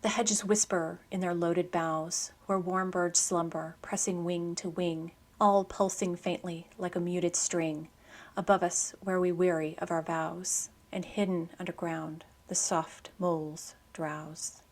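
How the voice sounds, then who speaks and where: Low-pitched woman reading literary radio script. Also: little pitch movement, a little slow. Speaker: poetry program host. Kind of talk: scripted narration and poetry reading.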